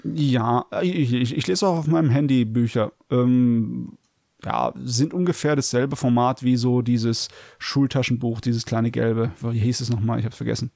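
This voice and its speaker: A gravelly-voiced man co-hosts a podcast in casual conversation. His pitch sits in the middle range, and the recording is dry, with no room sound. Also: fairly even intonation, normal speed, a little mellow, no room sound. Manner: chatty, laughs while telling stories. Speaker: podcast co-host. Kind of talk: casual chat.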